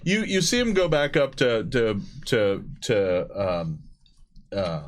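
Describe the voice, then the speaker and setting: A man narrating a tabletop game as game master, improvising haltingly and often repeating his words. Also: mid-range voice, huge pitch swings.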